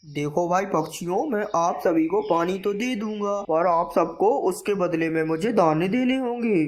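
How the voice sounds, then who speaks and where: A woman's voice: on the low side, normal speed, lightly acted. A woman voicing a sly, greedy male crow in a children's story cartoon, with a taunting, bossy manner.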